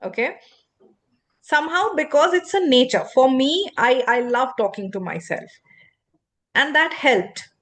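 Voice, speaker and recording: A woman whose first language is not English, hosting a podcast and explaining English fluency in an expressive, emphatic teacherly way. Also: high-pitched, a little slow, pauses often. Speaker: podcast host and English coach. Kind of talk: instructive podcast conversation.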